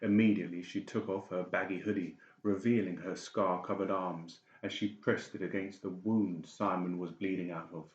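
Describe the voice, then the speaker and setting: A man with a low voice narrates a dramatic audiobook from a script. The recording has noticeable room echo and a dull, muffled tone. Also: steady tone, moderate pace, hardly any pauses. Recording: muffled, echoey home recording.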